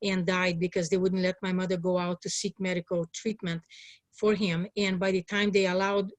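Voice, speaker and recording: A woman's voice: lowish voice, little pitch movement, moderate pace, no echo. A woman in her sixties, from Hungary, giving earnest, emphatic personal testimony as a political candidate being interviewed. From born in Hungary. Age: 60s.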